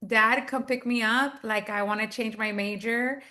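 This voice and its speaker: A woman's voice: fairly high pitch, fairly even intonation, measured pace, bright, forceful tone. A woman in her thirties from Texas, telling her story in a chatty, upbeat way with lots of fillers, as a guest on a video call. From Texas, United States. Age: 30s. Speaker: guest, business owner. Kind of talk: casual interview on a video call.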